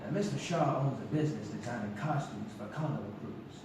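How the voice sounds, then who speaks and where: An actor plays a matter-of-fact male police detective of the 1890s, probing with hesitant politeness. His voice is medium in pitch, heard from far off in a very echoey hall with clear noise. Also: fairly quick, lightly acted. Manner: hesitant, plain, procedural. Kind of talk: theatrical stage acting of a period mystery. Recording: echoey, noisy live theatre recording from afar.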